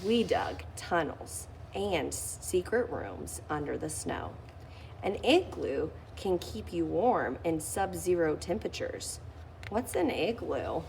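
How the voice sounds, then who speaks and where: A woman's voice: lowish voice, sing-song, takes it slowly, creaky, darkish tone, a little hiss or hum. A woman reading a children's picture book aloud, lifting her voice expressively on key phrases. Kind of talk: read-aloud storytelling.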